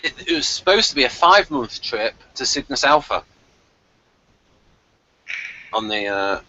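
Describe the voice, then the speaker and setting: Bright-voiced stammering man, lo-fi audio. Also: medium pitch, sing-song, a little fast, slight background noise. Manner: stammering, repeats words. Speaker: podcast reviewer. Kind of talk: casual discussion.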